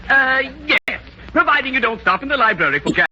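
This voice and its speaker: An actor playing a silly, pompous, jovial doctor with flowery speech in a 1940s radio comedy. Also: male voice, very high-pitched, big pitch swings, fairly quick. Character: silly, pompous male rest-home doctor. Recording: muffled, noisy 1940s radio broadcast.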